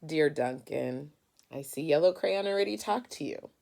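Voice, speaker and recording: A woman's voice: deep voice, expressive, normal speed, vocal fry, warm tone. Woman reading as an indignant orange crayon. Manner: emphatic, complaining. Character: indignant orange crayon insisting he's the sun's color.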